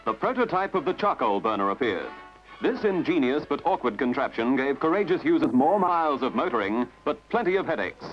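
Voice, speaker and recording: Male narrator, for documentary newsreel: animated intonation, dramatic and measured, dull archival soundtrack under prominent music. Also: medium pitch.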